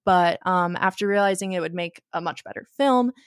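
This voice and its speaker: A woman's voice: lowish voice, normal speed, smooth, no room sound, clean studio sound. A young woman co-hosting a film podcast, chatting casually with many ums. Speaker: podcast co-host.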